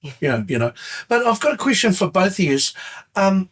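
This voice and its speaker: Playful older man asking questions, husky. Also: high-pitched, moderate pace. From New Zealand. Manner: playful, teasing, expressive. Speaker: interviewer. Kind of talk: casual interview chat.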